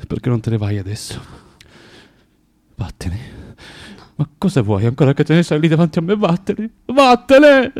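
An actor playing a bitter, proud, self-loathing recluse in a theatrical radio drama, with a volatile, declamatory and halting delivery. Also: male voice, on the high side, expressive, a little mellow, natural voice. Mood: anguished, agitated.

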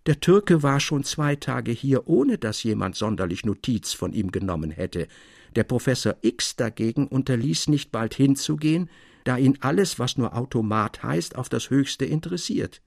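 Man playing a courteous elderly gentleman, gravelly. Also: medium pitch, animated, moderate pace, no echo, studio quality. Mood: confiding, assured. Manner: courteous, formal, old-fashioned. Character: elderly, politely formal gentleman, quietly knowing storyteller.

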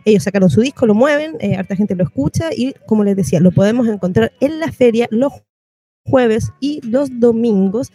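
A woman's voice: fairly high pitch, animated, a little fast, warm tone, no echo. A woman with a Chilean accent hosting an informal community radio show in a colloquial, familiar way. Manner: colloquial, addresses listeners familiarly. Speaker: radio host.

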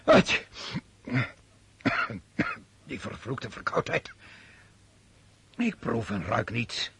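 A man acting an imperious, brooding French emperor on campaign in a radio play, with a commanding, declamatory manner and a husky voice. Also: medium pitch, wildly swooping pitch, very slow, a bit bright, dry recording, exaggerated voice. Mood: irritable, brooding.

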